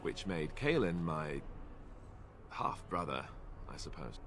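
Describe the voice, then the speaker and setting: A man's voice: rumbling low, sing-song, takes it slowly, gravelly, fairly bright tone, faint music behind, exaggerated voice. An actor playing a wry, guarded young male warrior in a video game, speaking hesitantly and awkwardly. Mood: reluctant. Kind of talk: game voice acting.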